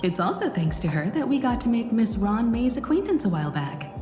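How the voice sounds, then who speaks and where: An actress playing a thoughtful, polite young explorer who asks inquisitive questions. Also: female voice, fairly high pitch, very animated, brisk. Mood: curious. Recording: roomy reverb with game music.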